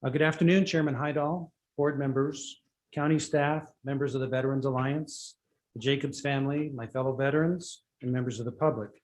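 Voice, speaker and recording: An older man, a retired military senior chief, with a quiet voice giving a prepared statement to a county board. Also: mid-range voice, fairly even intonation, a little fast, poor audio. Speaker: public speaker for an appellant.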